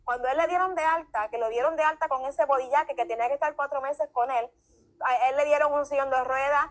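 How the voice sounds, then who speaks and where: High-voiced woman giving fervent testimony. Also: steady tone, quick pace. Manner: emphatic, repetitive, preacher-like. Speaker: guest giving religious testimony.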